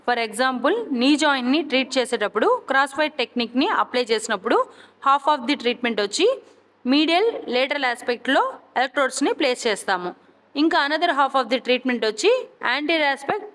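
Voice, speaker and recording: Telugu-accented lady teaching from a script, pretty high voice, carefully spelled out terms, really reverby sound. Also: expressive, normal speed, fairly bright tone. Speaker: physiotherapy lecturer. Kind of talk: scripted educational narration. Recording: long reverberant echo.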